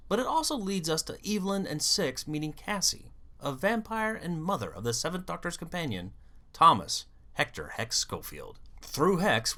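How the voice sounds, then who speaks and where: A video host delivering scripted fan commentary in an animated, storytelling way with wry asides. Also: mid-range voice. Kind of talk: scripted fan commentary on an audio drama series.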